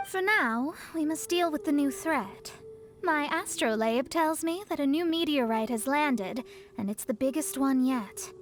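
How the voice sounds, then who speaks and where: A woman's voice: high-pitched, lively intonation, a little slow, soft background music. A woman playing a proud, self-assured young female astrologer in a video game, confident and slightly haughty. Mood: resolute. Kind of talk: video game cutscene voice acting.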